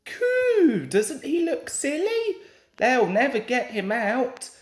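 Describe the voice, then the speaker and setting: Man doing high-pitched jeering little schoolboy, echoey. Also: wildly swooping pitch, slow pace, heavily acted. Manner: mocking, singsong. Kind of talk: children's storybook character voice. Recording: roomy home recording with echo.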